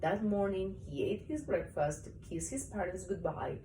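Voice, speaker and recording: A woman reading a children's story aloud to a young child in an animated, expressive way. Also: medium pitch, normal speed. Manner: expressive, acts out story parts. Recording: roomy, distant mic, faint noise.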